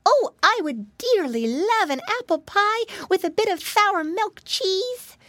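Woman as piglet boy: very high, squeaky, eager, slow and bouncy. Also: no echo, heavily acted. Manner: squeaky, excited, greedy for food.

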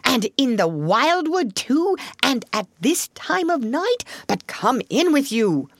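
A woman voicing a gruff old male badger from a storybook, grumbly but fatherly, fussing and exclaiming. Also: high-pitched, very animated, slow, dry recording, clean studio sound, voice pushed up, full character voice. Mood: surprised, welcoming. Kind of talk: storybook character voice.

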